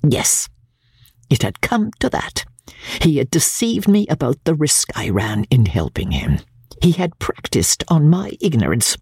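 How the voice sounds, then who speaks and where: Woman performing a husky, gravelly, bitter Victorian matron. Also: sits low, very animated, measured pace, a little mellow, no room sound, clean studio sound, full character voice. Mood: resentful. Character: bitter, proud older Victorian woman confessing by letter. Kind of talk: dramatic audiobook reading of classic literature.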